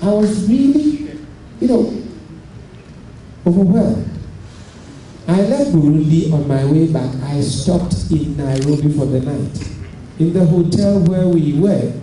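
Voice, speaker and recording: A middle-aged Ghanaian man giving a public lecture, measured and deliberate, growing animated when telling stories. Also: on the high side. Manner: measured and deliberate, rising with enthusiasm in anecdotes. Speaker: lecture speaker. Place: lecture hall. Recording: far echoey hall with noise.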